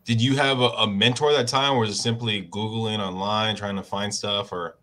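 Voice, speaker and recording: A man's voice: on the low side, fairly even intonation, brisk, pushed and bright. A man interviewing a guest, asking casual, probing questions.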